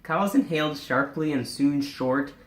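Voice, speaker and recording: A man's voice: a little high, measured pace. A man co-hosting an informal story reading, stumbling here and there as he reads and chats. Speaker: co-host reading fan fiction aloud. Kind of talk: informal live story reading and casual chat. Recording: echoey room.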